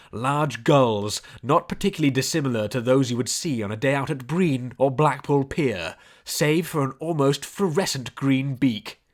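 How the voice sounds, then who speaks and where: A man reading scripted story narration in a measured storybook delivery. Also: medium pitch. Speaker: audiobook narrator.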